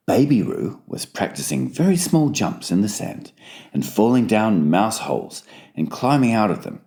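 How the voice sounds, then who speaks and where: A man's voice: low voice, vocal fry, a little mellow. A man reading a children's story aloud as scripted audiobook narration.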